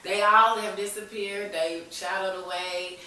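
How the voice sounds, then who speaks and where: A woman's voice: mid-range voice, steady tone, unhurried, pushed and bright. A woman telling her own story as an interview guest, speaking candidly and with resolve in a personal, conversational way. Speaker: talk show guest in recovery. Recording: very echoey room, distant mic, hiss.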